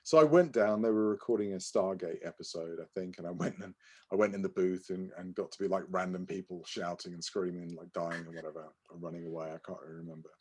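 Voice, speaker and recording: A man's voice: low voice, fairly even intonation, fast talker, a little mellow, husky. A man co-hosting a podcast, telling anecdotes casually and often correcting himself in a hesitant, apologetic way. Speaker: podcast co-host.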